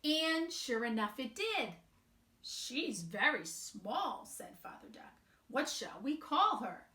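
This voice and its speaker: A woman with a fairly high voice reads a children's story very slowly and expressively. She leaves emphatic pauses between phrases. The sound is roomy and echoing. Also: very animated, sharp tone. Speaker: picture book reader. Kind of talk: read-aloud children's storytelling.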